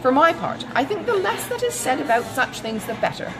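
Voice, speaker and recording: Woman voicing a gushing, excitable older gossiping matron. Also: high voice, fairly quick, music in the background, voice pushed up, rough recording. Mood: emphatic. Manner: gushing, exclamatory, rambling.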